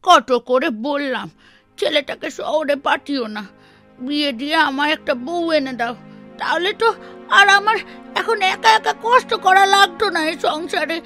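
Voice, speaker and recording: A woman's voice: high-pitched, normal speed, pushed and bright. A woman playing a practical village housewife in a cartoon, bossy but caring, with a nagging, exclamatory way of talking. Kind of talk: cartoon voice acting. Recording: music behind the voice.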